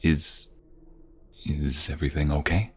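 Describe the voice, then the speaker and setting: A man playing a doting, protective mobster lover in an intimate ASMR roleplay, with a low, gravelly, husky voice. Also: steady tone, measured pace, heavily acted. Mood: worried, defensive.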